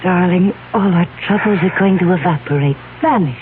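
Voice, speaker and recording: A woman's voice: lowish voice, normal speed, natural voice. An actress plays a devoted young heiress engaged to be married, in old radio drama style. She is soothing yet insistent and pleading, heard through a dull broadcast recording with faint noise. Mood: playful, coaxing. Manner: pleading, coaxing.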